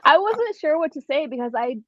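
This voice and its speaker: A woman's voice: high voice, sing-song, a little fast, lo-fi sound. A woman from Thailand chatting casually and informally as an interview guest. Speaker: guest, fitness vlogger.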